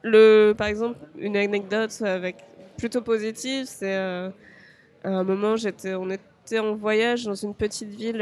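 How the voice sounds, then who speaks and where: A woman's voice: mid-range voice, a little mellow. A young woman from France, a podcast guest speaking hesitantly, with frequent fillers and restarts. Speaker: interview guest. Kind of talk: podcast interview.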